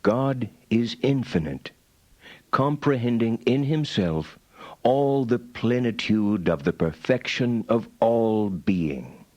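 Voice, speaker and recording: An actor playing a solemn medieval philosopher-theologian in an audiobook, reciting his doctrine in a measured, declamatory manner. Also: mid-range voice, animated, darkish tone, no room sound. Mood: solemn, assured. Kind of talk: dramatized reading of philosophical writings.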